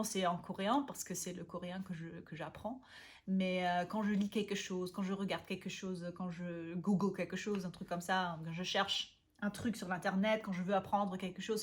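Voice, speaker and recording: A young woman from Vienna whose first language is Austrian German, chatting casually in a vlog, halting and full of fillers, with frequent self-amused laughs and stray English words. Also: mid-range voice. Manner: laughs often at herself and slips into English words. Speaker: language vlogger.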